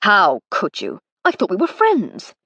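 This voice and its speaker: A woman voicing an indignant upper-class young gentleman who sounds huffy and affronted. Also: mid-range voice, huge pitch swings, a little slow, breathy edge, dry recording, dull audio, exaggerated voice.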